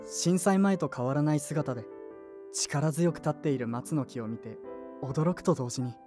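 A woman's voice: low-pitched, moderate pace, dark tone, faint music behind, natural voice. A woman voicing an earnest, anxious teenage boy in a radio drama, boyish and emotional with blunt speech. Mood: reflective narration. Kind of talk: radio drama acting with narration.

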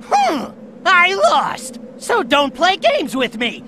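An actor playing a proud, hot-headed young bird rival in a video game cutscene, brash and indignant. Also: male voice, very high-pitched, wildly swooping pitch, takes it slowly, pushed and bright, slight background noise, heavily acted. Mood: offended.

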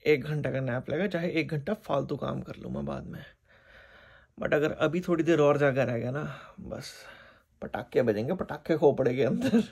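A sleepy, hoarse man chatting casually to a phone for a vlog in a bedroom. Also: fairly high pitch, darkish tone. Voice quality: husky, gravelly. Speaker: vlogger.

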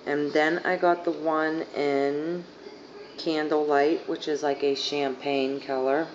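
A young woman with a hoarse, fading voice rambling casually through a makeup haul, full of fillers, filmed on a phone on her living room couch. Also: low-pitched, little pitch movement, slow. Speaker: beauty vlogger. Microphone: phone camera at arm's length. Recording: dull lo-fi phone audio, faint hiss.

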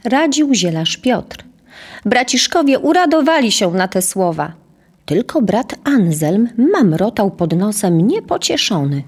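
A woman's voice: medium pitch, big pitch swings, measured pace, a little mellow, breathy edge. A woman narrating a scripted historical tale as the storyteller of an audio drama.

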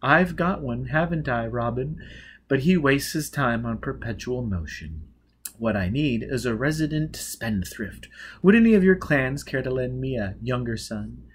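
A man voicing a witty upstart playboy, suave and flippant, charming high society in a novel reading. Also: animated, a little slow, breathy edge. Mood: breezy.

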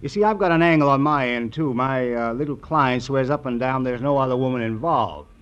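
Guy doing sneering crooked gumshoe in old radio drama, slangy, middling pitch, muffled recording. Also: brisk, fairly bright tone, lightly acted. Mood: sly, needling. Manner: sarcastic, needling, slangy. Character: sly, sneering rival male private investigator. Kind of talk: 1950s radio detective drama acting.

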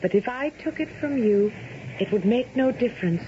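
An actress playing an eager, spirited young daughter in a radio drama, speaking earnestly. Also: female voice, a little high, lively intonation, normal speed, lightly acted. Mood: eager, wondering. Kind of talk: 1970s radio drama acting. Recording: dull, noisy vintage radio broadcast.

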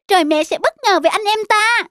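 Very high-pitched excitable little bird. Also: female voice, normal speed, no echo, studio quality. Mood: excited. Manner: giggly, eager. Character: excitable, cocky little bird child, younger sibling. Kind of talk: cartoon voice acting. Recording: music behind the voice.

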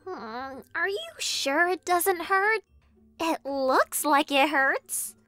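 An eager little cartoon kitten with a very high, lively voice. She is childlike, earnest and naive, excitable and unhurried in her delivery. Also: dry recording, soft background music, heavily acted. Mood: hesitant, sheepish. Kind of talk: animated comic dub acting.

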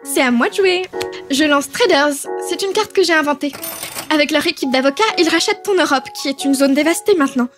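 A woman plays a competitive little girl in a radio drama, in a very childlike high voice. She is smug, sly and taunting, with faint music underneath. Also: fairly quick, natural voice. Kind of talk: audio drama acting.

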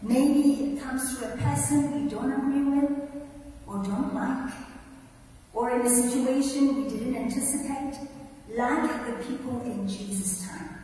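A woman's voice: high voice, a little slow, mellow. A woman preaching a conversational sermon at a church lectern, asking the congregation questions. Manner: conversational, rhetorical questions, emphatic. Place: large church sanctuary. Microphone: lectern mic. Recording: echoey hall from far off, faint noise.

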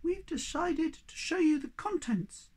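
A reader voicing a tiny creature with a high, bright, earnest voice. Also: expressive, measured pace. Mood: happy. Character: tiny solemn-then-cheerful creature.

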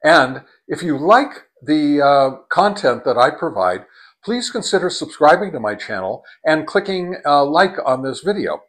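A man in his sixties hosting a casual radio-testing vlog, talking in a relaxed, halting way with frequent pauses and restarts, and counting off test calls in a steady cadence. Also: fairly high pitch. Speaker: ham radio channel host.

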